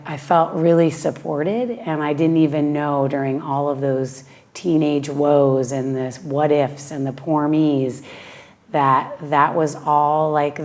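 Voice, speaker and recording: A woman from Western Massachusetts with a raspy voice, a yoga teacher speaking slowly and thoughtfully in a heartfelt personal interview. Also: deep voice, a little mellow. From Western Massachusetts, USA.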